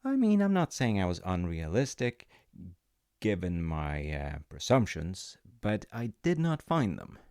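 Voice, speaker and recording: A man telling a gentle, rambling story as a comforting dad, with self-mocking humor, close to the mic. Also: deep voice, wildly swooping pitch, a little slow, no echo. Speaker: audio roleplay storyteller playing a dad.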